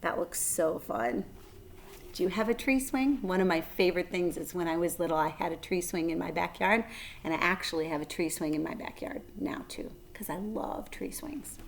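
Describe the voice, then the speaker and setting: A woman reading a children's book aloud as a storyteller, expressive, with dramatic emphasis and shifting volume. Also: fairly low pitch, moderate pace, creaky, a little hiss or hum.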